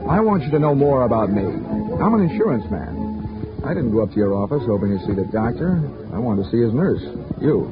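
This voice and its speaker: Guy doing a smooth-talking insurance detective, wry and teasing, lively up and down delivery, muffled old radio sound. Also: medium pitch, fairly quick, music in the background, natural voice. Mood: flirty. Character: wisecracking flirtatious male insurance investigator. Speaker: insurance investigator. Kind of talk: 1940s radio drama acting and narration. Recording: muffled 1940s radio broadcast.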